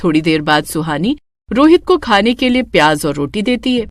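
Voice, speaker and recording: A woman narrating a scripted moral story in an expressive storytelling style. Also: mid-range voice, moderate pace, no room sound, clean studio sound.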